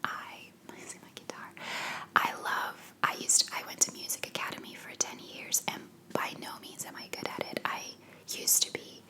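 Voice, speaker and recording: A young woman from Belgium with an American accent, whispering answers to viewer questions in a rambling ASMR Q&A at home on a sensitive microphone. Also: moderate pace. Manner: soft unvoiced whisper, rambling with fillers. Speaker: ASMR creator. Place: home living room.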